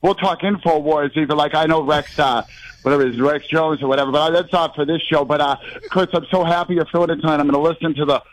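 A man's voice: a little high, steady tone, fast talker, a little hiss or hum, poor audio. A man calling in to a sports radio show, rambling effusively from one thing to the next. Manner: effusive, digressive. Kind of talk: rambling casual fan call.

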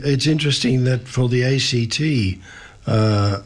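A man's voice: mid-range voice, unhurried. A man hosting a radio studio interview, hesitant and full of uhs. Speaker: radio host.

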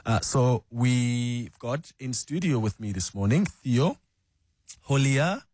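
A man hosting a morning radio talk show, relaxed and chatty, with frequent ums. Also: medium pitch, slow, no room sound. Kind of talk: radio interview. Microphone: studio microphone.

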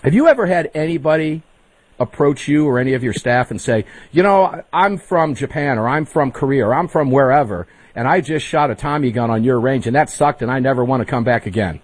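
Husky-voiced male talk radio host. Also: mid-range voice, a little fast, no room sound. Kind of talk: talk radio hosting and ad reads.

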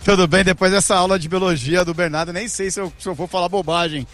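A male field reporter works the crowd at an outdoor fan festival, cracking jokes as he questions fans. His voice sits fairly high, with faint music in the background. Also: fairly even intonation, quick pace, fairly bright tone. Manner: playful, joking with fans. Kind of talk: live fan-zone street interviews.

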